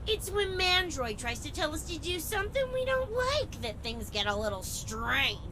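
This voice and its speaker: A woman's voice: high-pitched, very animated, moderate pace, background noise. A woman playing a flighty, defiant villain robot in a video game. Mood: sly.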